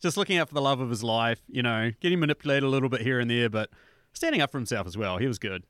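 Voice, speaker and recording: A man's voice: mid-range voice, racing, smooth, no room sound, studio quality. Laid-back New Zealand man, rambling. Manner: swears freely, rambling and animated. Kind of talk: casual chat.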